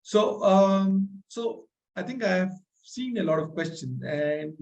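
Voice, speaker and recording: A man hosting a live training masterclass, energetic and motivational, often checking in with "right?"; his voice is a little strained from speaking loudly. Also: sits high, slow, muffled recording. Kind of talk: live online masterclass with audience questions.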